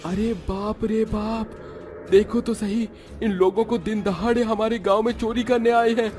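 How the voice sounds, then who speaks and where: An excitable cartoon villager, played by a man calls out in alarm. His voice is high and indignant. Faint music sits underneath. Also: a little slow. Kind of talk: Hindi cartoon acting.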